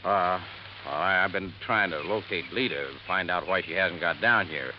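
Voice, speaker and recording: An actor playing a rough, defensive male murder suspect in a 1950s radio drama, gruff and hesitant. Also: low voice, normal speed, natural voice. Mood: evasive, uneasy. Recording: muffled, noisy old radio recording.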